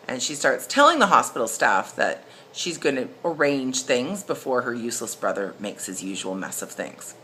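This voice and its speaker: A woman's voice: rumbling low, bright, forceful tone, a little hiss or hum, rough recording. A woman narrates a case study as an instructor, explaining informally with emphatic stresses.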